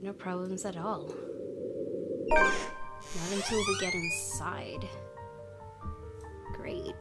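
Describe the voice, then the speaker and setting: A woman hosting a gaming video, reading a visual novel aloud and adding casual commentary. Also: mid-range voice. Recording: game music behind the voice.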